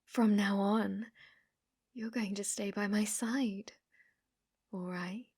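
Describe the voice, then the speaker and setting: A woman's voice: mid-range voice, fairly even intonation, slow pace, darkish tone, husky, no room sound, studio quality. A British woman playing an obsessive, sweetly possessive young female stalker in an ASMR roleplay, coaxing and often dropping into whispers. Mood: sweetly menacing.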